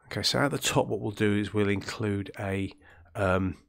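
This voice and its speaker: A man with a deep, throaty voice from illness, talking through a coding tutorial in a rambling way, with many ums and self-corrections. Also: fairly even intonation, normal speed, vocal fry. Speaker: game development tutorial host. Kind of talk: screen-recorded coding tutorial.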